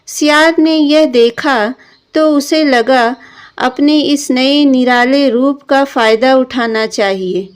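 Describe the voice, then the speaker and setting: A woman narrating a scripted children's story in a deliberate storyteller's manner. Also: high-pitched, clean tone. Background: background music.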